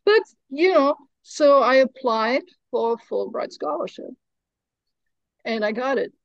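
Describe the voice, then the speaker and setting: A woman's voice: sits high, lively intonation, measured pace, vocal fry, no room sound, lo-fi sound. A middle-aged woman, from Germany, chatting as a guest in an interview, playful, joking and laughing often. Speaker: guest, stop motion animator. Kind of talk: casual interview chat.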